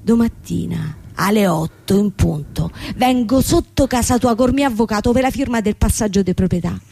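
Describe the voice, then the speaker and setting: A woman's voice: mid-range voice, normal speed, vocal fry, warm tone, no echo. An actress in a radio play voicing a threatening, sneering male loan shark.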